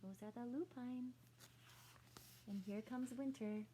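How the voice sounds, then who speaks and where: Female teacher, fairly high voice, storybook read-aloud with explanatory asides to kids, faint hum behind. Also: slow pace, dark tone. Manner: explanatory, inviting questions to children. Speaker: teacher reading aloud to children.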